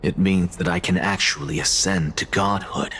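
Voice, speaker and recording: A man's voice: sits low, steady tone, normal speed, a bit bright, husky. An actor playing an aloof, defiant male scholar in a game, speaking in a cool, lecturing, disdainful way. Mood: scornful.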